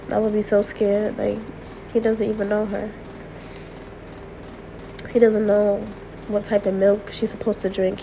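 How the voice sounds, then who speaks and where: A woman's voice: mid-range voice, gravelly, background noise. A young woman hosting a personal podcast, talking candidly and repeating herself as she vents late at night while getting over a cold. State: recovering from a cold. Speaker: podcast host.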